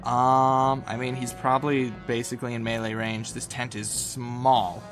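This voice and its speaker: A man acting as game master, narrating a tabletop game casually and informally, often pausing to think aloud. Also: medium pitch, level tone. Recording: music behind the voice. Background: game soundtrack music.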